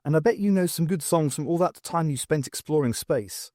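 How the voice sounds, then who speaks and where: A man voicing an eager, excitable young female student in an audiobook, bubbly and full of questions. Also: on the high side, a little fast, no room sound, clean studio sound, close to an everyday voice. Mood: cheeky, eager.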